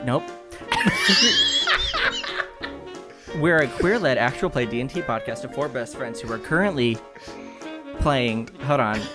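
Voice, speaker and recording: A woman giving an informal podcast promo as one of the cast. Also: a bass voice. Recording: loud music bed under voice. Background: loud music.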